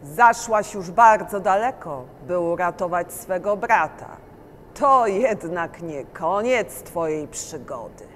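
A scheming machine captor, acted out by a young performer with a bright, forceful voice that swoops widely. It taunts slowly and theatrically, like a villain in an amateur sci-fi drama, in a reverberant, noisy space. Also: mid-range voice, lively intonation. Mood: taunting. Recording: echoey hall, background noise.

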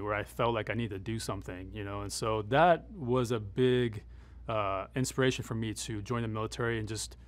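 Middle-aged man, matter-of-fact interview talk. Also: lowish voice. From United States, born in Afghanistan. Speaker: military advisor and former Green Beret. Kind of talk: interview soundbites, conversational explanation.

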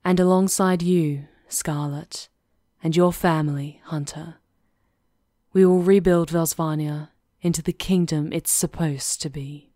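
Woman as a plotting witch, lowish voice, measured, knowing, composed, close dry recording, fantasy audio roleplay. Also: fairly even intonation, warm tone, no echo. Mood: solemn resolve. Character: composed, sly female witch conspirator plotting against a countess. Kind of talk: ASMR roleplay acting.

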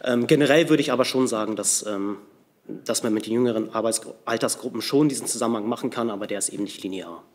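A man's voice: lowish voice, fast talker. A man in his thirties explaining research findings at a press conference, informally and with careful qualifications. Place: large indoor press conference room.

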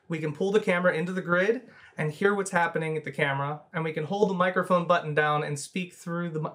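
Male tutorial presenter, thirties, slightly high voice, methodical step-by-step scripted instruction.

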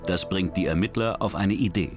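A man narrating a true crime documentary in a measured, dramatic storytelling manner. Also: low-pitched. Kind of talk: scripted narration. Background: music.

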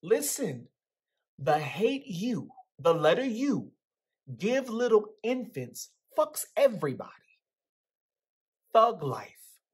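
A man's voice: sits high, slightly rough, full character voice. A young man with an American accent playing a guarded, streetwise teenage boy in a dramatic book reading. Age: young adult. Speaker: actor reading a character's dialogue. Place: indoors in a small plain room. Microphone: video call, close to computer.

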